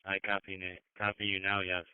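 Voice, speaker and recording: A man's voice: sits low, completely flat delivery, fairly quick, clear, resonant tone, no echo. A man making terse call-outs over police and emergency radio. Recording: tinny, narrow-band scanner radio.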